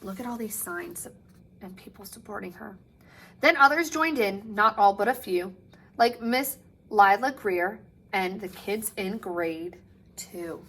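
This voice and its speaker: A woman teacher reading a picture book aloud to children with expressive delivery, dramatic pauses and drawn-out phrase endings. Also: mid-range voice, slight background noise, low-quality recording.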